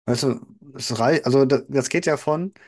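A man in his thirties speaks in a calm, mid-pitched voice on a podcast. He chats easygoingly with dry humor and often starts sentences over. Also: normal speed. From Germany. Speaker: podcast co-host. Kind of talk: casual podcast chat.

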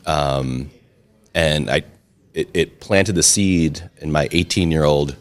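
A middle-aged man with a remarkably deep, low voice. He tells long stories in a relaxed, chatty way, often joking at his own expense. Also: slow pace. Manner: chatty, self-deprecating humor, frequent restarts. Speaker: interview guest, restaurant and brewery owner. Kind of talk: casual storytelling interview.

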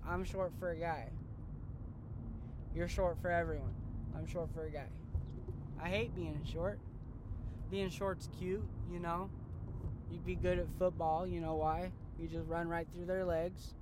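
A man's voice: on the high side, steady tone, a little slow, pauses often. A young man hosting a casual podcast from a moving car, rambling and joking with plenty of swearing. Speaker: podcast host. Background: faint road noise.

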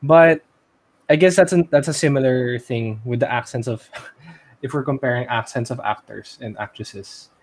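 A man's voice: mid-range voice, moderate pace. A man with a Filipino accent speaking casually as the co-host of a discussion podcast. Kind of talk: casual movie discussion chat.

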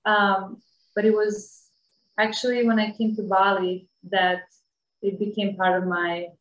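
Woman from Bosnia, thirties, English, very roomy echo, medium pitch, reflective interview talk. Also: flat delivery, a little slow, highs cut off. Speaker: interview guest. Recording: echoey room sound.

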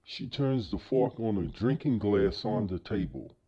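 A man's voice: on the low side, animated, unhurried, crowd noise. A man reading scripted narration and announcements for an audio drama, on a slightly lo-fi recording.

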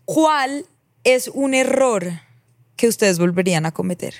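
A woman's voice: on the high side, animated, unhurried, dry recording. A woman hosting a podcast, playful and teasing in casual chat. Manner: playful, teasing, laughs easily. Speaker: podcast host. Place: podcast studio.

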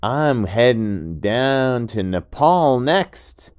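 A laid-back little storybook gecko, played by a man, pitched up and drawling slowly. The gecko is friendly and easygoing, talking like a carefree surfer dude. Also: expressive, smooth, no echo, clean studio sound. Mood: breezy.

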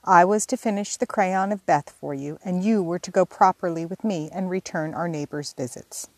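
A woman's voice: on the low side, normal speed, no room sound. A woman narrator puts on a fussy young sister who coaxes, scolds and gives orders in a slightly lifted, eager voice. The recording is close and dry, with no room around it. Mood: scolding. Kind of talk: audiobook character reading.

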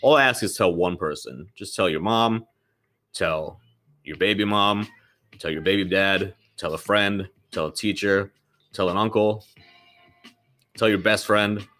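A man's voice: fairly low pitch, unhurried, pauses often, a bit bright. A man hosting his own podcast in loose, rambling casual talk, swearing freely and sounding slightly nasal from a lingering cold. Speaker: podcast host.

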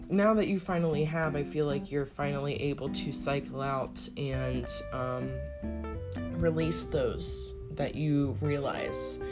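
A woman with a raspy morning voice talking casually as a spiritual tarot reader, rambling and searching for words with many fillers. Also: deep voice.

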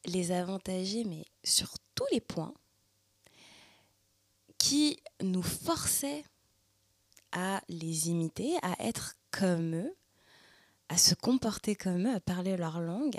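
Woman, from the Paris region, young adult, slow and searching, husky, dry close sound. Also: fairly low pitch, no echo. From Paris region, France. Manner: thoughtful, searching, many fillers. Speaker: speaker sharing personal testimony. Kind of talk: reflective personal monologue.

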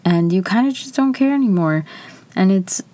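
A woman's voice: mid-range voice, lively intonation, normal speed, warm tone. A woman in her early twenties talking candidly to camera, chatty and loose with lots of filler words. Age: 20s. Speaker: vlogger. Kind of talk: casual personal reflection.

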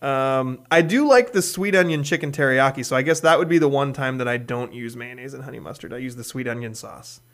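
A man's voice: mid-range voice, brisk, hardly any pauses, fairly bright tone. A man from Hawaii hosting a podcast, chatting casually and often laughing while he talks. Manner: chatty, laughs while talking, puts on mock voices. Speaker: podcast host. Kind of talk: casual chat.

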